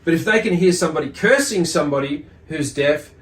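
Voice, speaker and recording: A man giving an explanatory religious lesson as a teacher, speaking in a measured way with deliberate emphasis and repetition. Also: a little high, expressive. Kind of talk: explanatory religious lesson, reading and commenting on a text. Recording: echoey, roomy sound.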